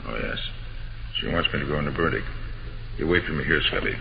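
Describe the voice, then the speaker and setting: An actor playing a shrewd, confident master detective with an assured, emphatic delivery in an old-time radio drama. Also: male voice, fairly low pitch, big pitch swings, brisk. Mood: hushed, grave. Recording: noisy, muffled old radio broadcast.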